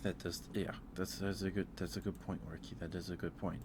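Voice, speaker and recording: A man with a low-pitched voice hosts a casual ASMR stream. He speaks softly and hesitantly, rambling as he thinks aloud. There is clear background noise on the recording. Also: little pitch movement, brisk. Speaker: livestream host. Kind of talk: casual ASMR livestream chat, ranking aloud.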